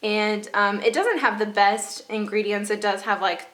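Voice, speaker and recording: A woman's voice: mid-range voice, steady tone, unhurried, a bit bright. A young woman with a stuffy, congested voice chatting casually through her favorite makeup products, with lots of fillers and repeated praise. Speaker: beauty vlogger.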